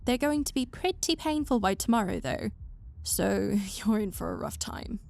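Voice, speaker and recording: A woman's voice: medium pitch, animated, normal speed, vocal fry, a little mellow. A woman voicing a composed young noblewoman, precise and dryly sarcastic but caring, in an audio drama.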